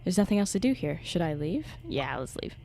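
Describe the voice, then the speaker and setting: A woman's voice: lowish voice, very animated, brisk, vocal fry, dark tone, a little hiss or hum. A woman livestreaming a game with casual, silly, playful commentary. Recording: game music behind the voice.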